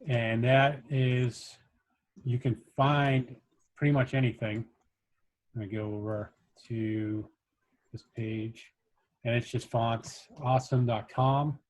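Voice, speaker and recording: A man's voice: medium pitch, steady tone, slow pace, darkish tone. Man giving a casual coding tutorial. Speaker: workshop instructor.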